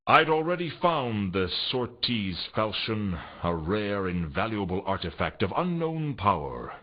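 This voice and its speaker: Husky, world-weary noir detective. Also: male voice, on the low side, unhurried. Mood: wry, brooding. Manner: deadpan, sardonic. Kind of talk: scripted first-person noir game narration. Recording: dull lo-fi game audio.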